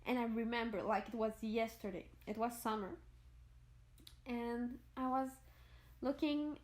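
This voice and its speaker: A woman's voice: a little high, steady tone, a little slow, a little mellow. A young French Canadian woman, French her first language, narrating a game-building video in a rambling way and often searching for words. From Montreal, Quebec. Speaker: gaming YouTuber.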